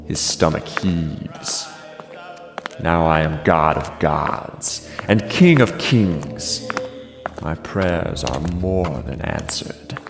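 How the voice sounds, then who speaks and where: Male actor as vengeful king, gravelly, slow, grandiose, gloating, classical tragedy monologue, loud music underneath. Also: a bass voice, animated, exaggerated voice. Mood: gloating triumph. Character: vengeful gloating king savoring his brother's ruin.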